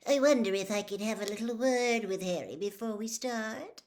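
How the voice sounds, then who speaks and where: A woman voicing a pushy, gushing middle-aged female tabloid reporter from a storybook, brisk and falsely sweet as she probes. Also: mid-range voice, a little mellow, natural voice. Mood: coaxing.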